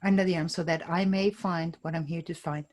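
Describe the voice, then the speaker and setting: A woman's voice: lowish voice, fairly even intonation, a little fast, darkish tone. A woman leading a tapping session, calling out tapping points and giving short phrases for someone to repeat. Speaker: EFT tapping practitioner.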